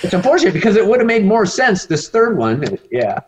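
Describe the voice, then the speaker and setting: A man's voice: sits high, sing-song, brisk, slightly rough. An American man, a priest being interviewed, talking emphatically and indignantly with rambling asides. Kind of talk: animated conversational interview answers.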